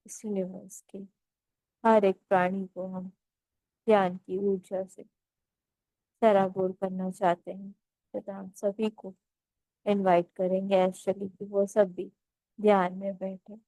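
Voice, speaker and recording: A woman leading a guided meditation as instructor, speaking in a measured, gently instructive way with pauses between phrases. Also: mid-range voice, flat delivery, no room sound, poor audio.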